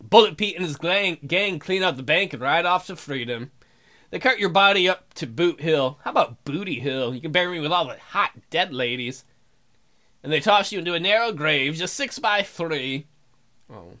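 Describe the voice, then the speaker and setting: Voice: medium pitch, big pitch swings, moderate pace, pushed and bright, soft background music. A let's play host reading game text aloud with bawdy, joking, playful asides.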